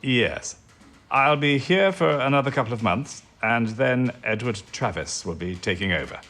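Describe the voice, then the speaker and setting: An actor playing a courteous, grave wartime intelligence chief, measured and understated, in an audio drama. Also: male voice, mid-range voice, expressive, a bit bright. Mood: modest, gracious.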